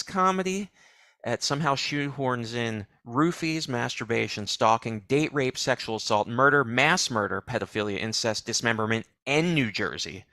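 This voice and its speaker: A man co-hosting a live show, giving wry, deadpan commentary and setting up clips. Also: medium pitch, no room sound, clean studio sound. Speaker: co-host. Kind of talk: casual chat.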